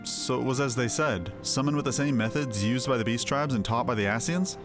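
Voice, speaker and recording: Game order's leader, female, male reader: mid-pitched, composed, considerate, formal, soft music underneath. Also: a little fast, natural voice. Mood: composed, concerned. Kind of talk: amateur voicing of fantasy game dialogue. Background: faint music.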